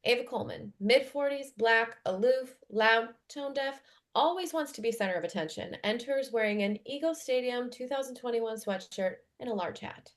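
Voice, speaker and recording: A woman's voice: a little high, moderate pace. A woman reading screenplay stage directions as scripted narration at a table read.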